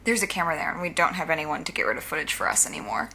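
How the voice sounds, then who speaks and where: A woman acting in an audio drama as a wry, cautious young female villain who plans briskly, with a dry, sarcastic manner. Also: low voice, very animated, creaky, pushed and bright, a little hiss or hum, exaggerated voice, poor audio. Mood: hurried, focused.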